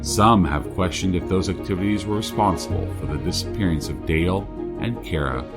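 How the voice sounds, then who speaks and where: A man playing a true-crime podcast host, delivering scripted narration in a measured, deliberate way. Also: low voice, over loud music. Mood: grave, measured. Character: male true-crime podcast host, solemn small-town investigator.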